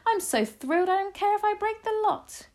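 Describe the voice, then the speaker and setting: A woman's voice: fairly high pitch, animated, normal speed, darkish tone, voice pushed up, close to an everyday voice. Woman putting on a feisty, emphatic old grandmother. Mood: thrilled. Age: older. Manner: bold, emphatic, impatient declarations. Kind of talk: storybook read-aloud.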